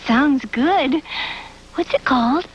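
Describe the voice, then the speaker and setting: A woman's voice: high-pitched, slow. A woman voicing a curious little child in a cute television commercial. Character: curious little child asking about a new toy. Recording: dull, faintly noisy old TV ad.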